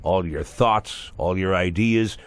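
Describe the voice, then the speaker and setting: An actor playing a sardonic, menacing police boss in a game cutscene, delivering mocking, measured speeches full of rhetorical questions. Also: male voice, deep voice, a little hiss or hum. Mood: mocking menace. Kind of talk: dramatic video game cutscene acting.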